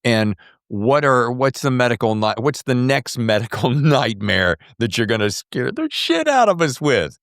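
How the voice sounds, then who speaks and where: A man hosts a casual interview with a very lively, swooping delivery at a medium pitch. His manner is informal and jokey, and the sound is dry and close. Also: unhurried, no echo. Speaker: podcast host.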